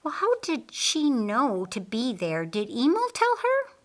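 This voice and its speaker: A woman's voice: sits high, huge pitch swings, a little slow, pitched above the performer's own voice, full character voice. Woman putting on an eager, chirpy young woman. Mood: curious. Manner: chirpy, emphatic.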